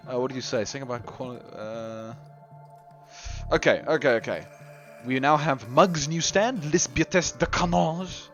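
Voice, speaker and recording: A man giving chatty live commentary on a game tournament, his voice going hoarse. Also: medium pitch, moderate pace. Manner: chatty, rambling, lots of restarts and laughs. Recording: dull lo-fi recording with faint music.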